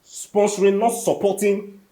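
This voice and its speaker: A man's voice: high voice, monotone, unhurried, dark tone. A Nigerian man on a talk show panel, making his points earnestly and emphatically. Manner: earnest, emphatic, rising when stressing points. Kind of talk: studio panel discussion.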